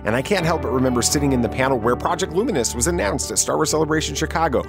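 A man's voice: a little high, lively intonation, very fast, vocal fry, over music. A man hosting a podcast, talking to listeners in an interview and sign-off style. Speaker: podcast host.

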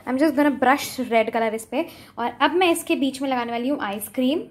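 High-pitched young Indian woman vlogger, echoey. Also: normal speed. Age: 20s. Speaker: home cooking vlogger. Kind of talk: casual cook-along vlog chat, switching between Hindi and English. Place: indoors at home, small curtained room. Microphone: phone camera a few feet away. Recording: echoey room, background noise, phone camera.

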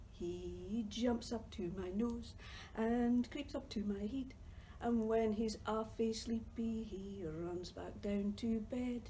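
Scottish woman, storytime leader, slow, mellow, sing-song delivery for toddlers. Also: fairly high pitch, slight background noise, poor audio. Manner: playful sing-song reading, drops to whispers. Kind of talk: reading a picture book and singing to young children. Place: library reading corner.

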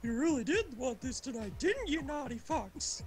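A man voicing a dominant, teasing male wolf in slow, taunting amateur storytelling. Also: very high-pitched, big pitch swings, pitched above the performer's own voice, exaggerated voice. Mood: smug teasing.

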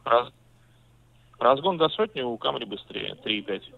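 A male car salesman talks on a narrow, tinny phone line, with a gravelly edge in his voice. He stays businesslike and patient and pushes back calmly when he disagrees. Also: medium pitch, normal speed. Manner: patient, businesslike, mildly argumentative.

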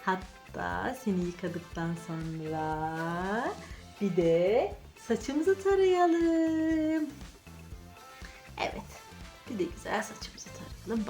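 A woman's voice: medium pitch, lively intonation, slow pace, darkish tone. A woman hosting children's toy play, narrating playfully and talking to the toys in sing-song encouragement. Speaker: children's channel host. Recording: music under the voice. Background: music.

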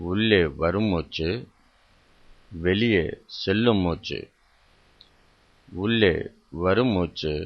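Slow, low-voiced man guiding meditation. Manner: measured, instructional, repeats phrases with pauses. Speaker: meditation guide. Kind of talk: guided meditation instruction. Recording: dull, muffled lo-fi sound.

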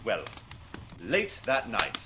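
An actor playing a shrewd, self-assured gentleman detective in a classic radio drama, brisk and incisive. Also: fairly low pitch, huge pitch swings, exaggerated voice. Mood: reminiscing, assured. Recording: noisy old radio recording with clicks.

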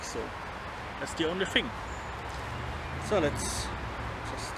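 A man in his thirties demonstrating a knife outdoors in a forest, chatting casually and chuckling between remarks, recorded on a camera mic. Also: high voice. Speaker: bushcraft vlogger. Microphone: handheld camera mic. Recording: outdoor camera audio with background noise. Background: forest ambience.

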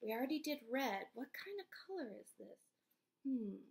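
A woman in her thirties or forties reading a picture book aloud to young children, sing-song and expressive, often asking questions. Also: high-pitched, moderate pace, gravelly. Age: 30s or 40s. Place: small indoor room at home.

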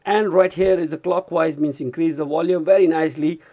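Male product reviewer, slightly high pitch, informal hands-on demo delivery. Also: steady tone, brisk, warm tone. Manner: informal, repeatedly addresses viewers as guys. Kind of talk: hands-on gadget review demonstration. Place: indoors at a desk.

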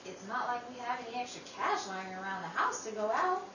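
A woman's voice: on the high side, sing-song, brisk. A woman playing a sensible, protective working sister in an old-time radio style drama, motherly and emphatic. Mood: weary, practical. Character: Emily, sensible, protective young working sister, firm peacemaker. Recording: roomy, distant mic, noisy.

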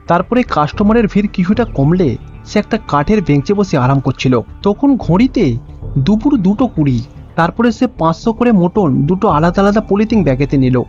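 A man's voice: high voice, animated, normal speed, a little mellow. A man reading scripted storybook narration for a cartoon. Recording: dull lo-fi sound with music. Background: background music.

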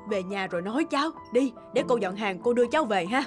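A woman's voice: a little high, lively intonation, fast, close to an everyday voice. An actress playing a concerned village market woman in a cartoon, earnest and folksy. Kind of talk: cartoon dubbing. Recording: clear music under the voice.

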